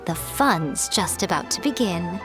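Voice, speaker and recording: A woman's voice: on the low side, lively intonation, unhurried, over music. A woman voicing a sly, confident fox shrine priestess in a video game, with an amused, teasing way of talking.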